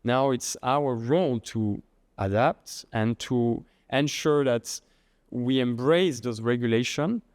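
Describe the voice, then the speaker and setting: A middle-aged man from France with a French accent, a guest in a podcast interview, speaking haltingly with many restarts. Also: medium pitch, darkish tone, dry recording. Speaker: guest, head of treasury and trading.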